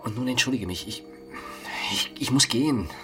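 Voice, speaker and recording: A man's voice: medium pitch, moderate pace, over music, close to an everyday voice. An actor playing a timid young lover in a radio drama, faltering and evasive as he makes excuses. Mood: nervous, evasive. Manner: hesitant, faltering.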